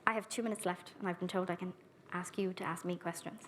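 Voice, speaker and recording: A woman's voice: fairly low pitch, steady tone, background noise. A woman speaking earnestly at a conference, giving a keynote and then answering audience questions on a handheld microphone. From Ireland. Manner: earnest, warmly direct, brisk with lists. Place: conference hall.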